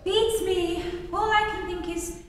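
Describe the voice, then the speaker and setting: A woman's voice: very high voice, steady tone, measured pace. A woman playing a folksy, plain-spoken farm wife in an old-time radio play, with a rustic manner. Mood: helpful. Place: theatre stage, live performance. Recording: very echoey theatre hall, distant, noisy.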